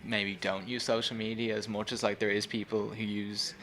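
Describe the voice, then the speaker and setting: Casting: young male musician, informal interviewee, bright sharp tone, light background ambience. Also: on the low side, level tone, moderate pace, a little hiss or hum. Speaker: band member being interviewed. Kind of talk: casual interview chat.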